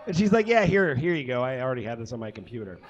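A man hosting a live comedy show, casually introducing the next piece in a halting, self-deprecating, joking way. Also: mid-range voice, very animated. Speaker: show host. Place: small indoor comedy stage. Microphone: stage microphone on stand. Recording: muffled live stage microphone.

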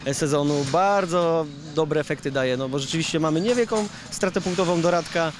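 A man's voice: fairly high pitch, a little fast, noisy background. A rally driver in his thirties giving an upbeat, rambling interview outdoors at a service park, speaking into a handheld mic. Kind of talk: post-stage interview. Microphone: handheld reporter mic.

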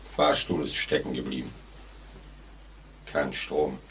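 A menacing elevator operator with a very low voice. He speaks slowly, in terse, calm phrases. It sounds like an old radio thriller with light noise on the recording. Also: exaggerated voice. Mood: flat, unconcerned. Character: sinister, unhurried, laconic male elevator operator. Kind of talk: 1940s German radio play acting. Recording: noisy vintage radio drama recording.

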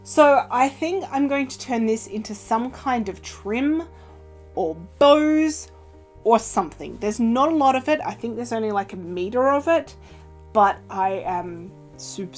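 A young woman chatting casually in an upbeat show-and-tell way, filled with little fillers and playful pitch jumps, recorded in a small sewing room. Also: animated, unhurried, faint music behind. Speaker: cosplay vlogger. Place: small indoor sewing room with fabric shelves. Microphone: camera in front at desk distance.

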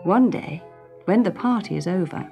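A woman narrating a children's story in an expressive, clearly phrased way. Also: fairly low pitch, moderate pace, over music.

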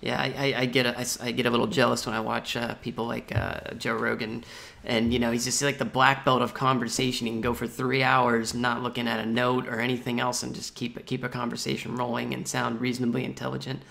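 A man's voice: mid-range voice, little pitch movement, brisk, gravelly, sharp tone. A man in his thirties hosting a podcast on a video call, asking questions hesitantly and with self-deprecation. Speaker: podcast host. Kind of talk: casual interview. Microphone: earbud headset mic on a video call.

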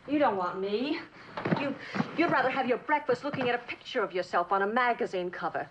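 Woman acting a high-voiced, wounded, neglected wife. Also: lively intonation, a little fast, close to an everyday voice. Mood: hurt, bitter. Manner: plaintive, accusing. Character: neglected, bitter young wife, wounded and reproachful. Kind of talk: mid-century American TV drama acting. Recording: dull, faintly noisy old television soundtrack.

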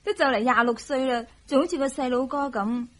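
An actress playing a gentle, coy young wife who keeps the peace, in a Hong Kong Cantonese radio drama. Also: female voice, sits high, fairly even intonation, fairly quick. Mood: gently chiding. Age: young adult.

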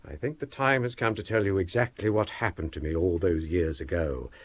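An actor with an English accent playing a courteous, scholarly older provost in a period radio drama, formal and donnish. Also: male voice, low voice, a little fast, breathy edge. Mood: storytelling. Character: courteous, scholarly older Cambridge provost and ghost-story teller.

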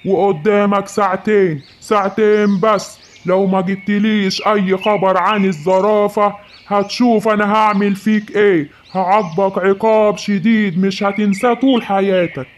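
A man's voice: high-pitched, steady tone, normal speed, natural voice. A man voicing an angry, vengeful lion king in Egyptian Arabic for a children's cartoon, scolding and commanding. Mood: threatening. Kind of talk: children's animated animal fable. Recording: muffled, noisy home recording.

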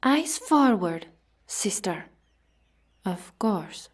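A woman's voice: medium pitch, very animated, very slow, exaggerated voice. A woman voicing a suspicious, scolding Amazon guard in an ASMR roleplay, with formal, disapproving delivery. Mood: dutiful.

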